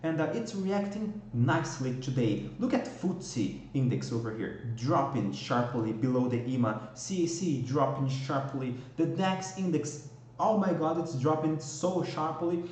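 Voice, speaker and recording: A man's voice: on the high side, expressive, a little slow, darkish tone, heavy reverb, a little hiss or hum. A man from Brazil with a Brazilian Portuguese accent, walking viewers through a stock market technical analysis and often checking in with "okay?". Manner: explanatory, frequent "okay?" and "all right?" checks.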